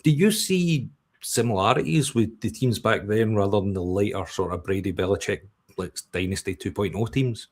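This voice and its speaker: A man's voice: on the low side, normal speed. A Scottish man in his forties hosting a casual sports chat, asking long, rambling questions. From Scotland. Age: 40s. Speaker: podcast host.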